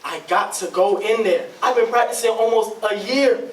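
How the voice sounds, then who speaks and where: A man's voice: high voice, normal speed, slight background noise, lightly acted. A man performing as an eager young dancer coaxes and insists in a stage drama. His voice comes from a little way off in an echoey room. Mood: insistent, impatient. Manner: coaxing, insistent. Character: eager, insistent young cakewalking husband or sweetheart, John. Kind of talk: stage readers theatre acting. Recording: echoey room, distant mic.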